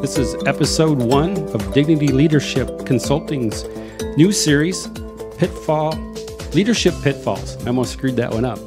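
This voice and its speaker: A man hosts a leadership podcast in a relaxed, conversational way. His voice sits at an ordinary middle pitch. Faint music plays in the background. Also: moderate pace. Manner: upbeat, conversational introductions. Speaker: podcast host. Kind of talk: casual interview.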